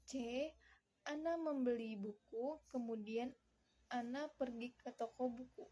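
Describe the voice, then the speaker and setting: Higher-pitched, mellow young woman reciting formally. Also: unhurried. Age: young adult. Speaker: university student presenter. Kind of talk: scripted presentation, reading aloud.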